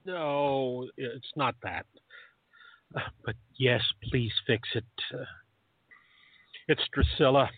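A man's voice: mid-range voice, slow pace, pauses often, slightly rough, dry recording. An actor in a radio play playing a grumpy, lovelorn, hot-headed man with a grumbling, terse manner. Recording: dry, thin phone-like sound.

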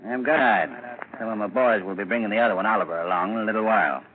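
An actor playing an easygoing, plain-spoken police constable in a 1940s radio drama, folksy and matter-of-fact. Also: male voice, on the low side, quick pace, close to an everyday voice. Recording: crackly, narrow-band 1940s radio broadcast.

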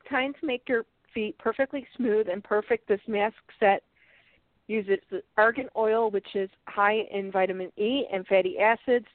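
Woman, stuffed-up nose, casual product chat, reads labels aloud, halting restarts, tinny phone-like sound. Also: mid-range voice, no room sound. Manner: chatty, halting restarts, light laughs. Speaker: co-host reviewing products. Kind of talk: casual unboxing chat, reading package text aloud. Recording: tinny phone-like line, dry.

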